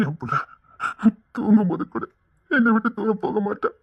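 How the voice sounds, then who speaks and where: A man's voice: sits high, expressive, normal speed, husky, muffled recording, heavily acted. A man playing a sobbing, desperate father. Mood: grieving. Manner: pleading, repeats phrases over and over. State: crying. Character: grief-stricken father, desperate and stubborn. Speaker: father searching for his lost child. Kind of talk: tearful serial drama acting.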